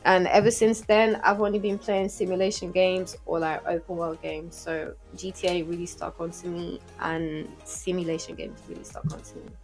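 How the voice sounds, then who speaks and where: A woman's voice: on the low side, flat delivery, moderate pace, hardly any pauses. A woman in her twenties from Portugal chats casually as a podcast guest, telling things in slangy English. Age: 20s. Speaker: podcast guest and game streamer. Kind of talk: casual interview chat. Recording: music playing behind the talk.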